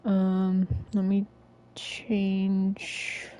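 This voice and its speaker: A woman's voice: medium pitch, completely flat delivery, drawn-out words, dark tone. A woman chatting casually as she streams a video game. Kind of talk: casual gameplay chat.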